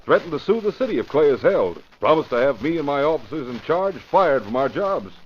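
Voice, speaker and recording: An actor playing a firm, determined police commissioner, formal and emphatic, in an old-time radio drama. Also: male voice, fairly high pitch, big pitch swings, brisk. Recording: muffled, faintly noisy vintage radio recording.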